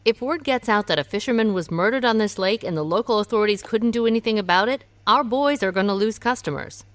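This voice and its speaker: Woman actor as a quick-witted radio-play sleuth who runs a restaurant: wry, curious, with plenty of melody in her delivery. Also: medium pitch, expressive, no room sound, lightly acted. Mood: thoughtful. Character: shrewd woman restaurant owner, amateur sleuth. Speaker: restaurant owner. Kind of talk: radio drama acting.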